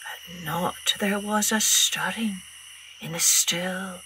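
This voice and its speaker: Slow, bright-voiced Scottish woman storyteller. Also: fairly low pitch, fairly even intonation, slight background noise, low-quality recording. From Scotland. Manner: theatrical, deliberate, drawn-out delivery with hushed passages. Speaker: children's storytelling podcast host. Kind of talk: expressive storytelling and poem recital for children.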